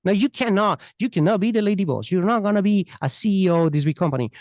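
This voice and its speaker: A man's voice: high-pitched, lively intonation, quick pace, darkish tone, no echo. Man. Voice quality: thick foreign accent. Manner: earnest, emphatic, repeats phrases. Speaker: interview guest, immigration attorney. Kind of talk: unscripted podcast interview answers.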